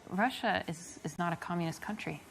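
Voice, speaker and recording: A woman's voice: on the low side, a little fast, vocal fry, faint music behind. An American woman acting out a casual getting-to-know-you conversation in a video game. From United States. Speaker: actress playing a date in an interactive video game. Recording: noticeable background noise.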